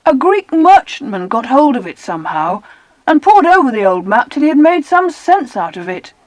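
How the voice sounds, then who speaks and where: High, animated, excitable British child. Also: female voice, moderate pace, no room sound, low-quality recording. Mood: eager storytelling. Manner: eager, expressive storytelling. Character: excitable, well-spoken children on an island holiday adventure. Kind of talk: dramatized children's audiobook acting.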